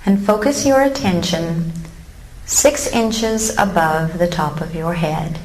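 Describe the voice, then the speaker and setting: A woman leading a guided meditation in a calm, gently instructive way with long pauses, recorded live in a room. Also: on the low side. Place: room with a seated live audience. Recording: roomy live recording with faint noise.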